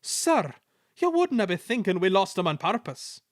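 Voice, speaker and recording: A man's voice: sits high, big pitch swings, moderate pace, dry recording, studio quality, pitched above the performer's own voice. A man voicing two cheeky male twin engines with a Scottish accent, sly and sing-song.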